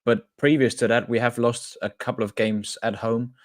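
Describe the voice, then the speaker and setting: A man's voice: medium pitch, steady tone, moderate pace, dry recording, studio quality. A man co-hosting a podcast who talks casually and hesitantly, with many ums and restarts. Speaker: podcast co-host. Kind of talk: casual chat.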